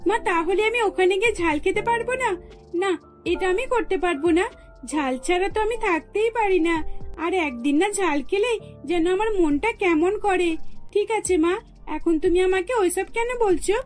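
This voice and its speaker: A woman's voice: extremely high pitch, normal speed, soft background music. A woman voicing a stubborn young bride-to-be in a cartoon, pleading and petulant. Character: stubborn young bride-to-be who craves spicy food. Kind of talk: scripted cartoon dialogue.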